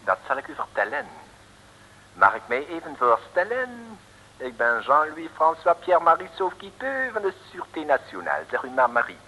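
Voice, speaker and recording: An actor playing a theatrical, menacing French barber with a French accent and broken Dutch in an old radio comedy-thriller. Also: male voice, a little high, huge pitch swings, normal speed, pushed and bright, exaggerated voice. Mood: brisk, pompous. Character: flamboyant, menacing French barber, secretly an agent. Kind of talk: 1960s radio serial acting. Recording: reverberant, noisy old radio recording.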